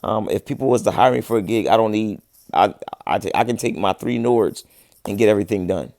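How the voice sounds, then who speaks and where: A laid-back man in his thirties, hosting a casual livestream and answering viewers in relaxed slang. His voice sits low and gravelly and is wearing thin, as he says he is losing it. The sound is close and dry, with no room echo. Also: fairly quick. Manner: laid-back slangy talk, frequent restarts. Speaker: livestream host, musician.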